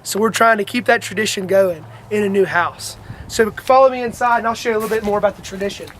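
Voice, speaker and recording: A man's voice: high voice, fast talker, fairly bright tone, a little hiss or hum. A man, giving a house tour in a casual video submission. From Alabama. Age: 20s. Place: outdoors and in a house.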